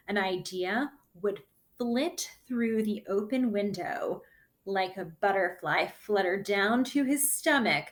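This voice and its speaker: Slow, expressive woman teaching young children. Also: medium pitch. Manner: simple, deliberate explaining in short phrases. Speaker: kindergarten art teacher. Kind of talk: read-aloud lesson for young children.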